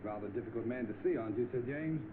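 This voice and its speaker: An actor playing a bored, spoiled, idle young millionaire, complaining in 1930s film style. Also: male voice, mid-range voice, quick pace, narrow, tinny audio, natural voice. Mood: indignant. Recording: thin, noisy vintage film soundtrack.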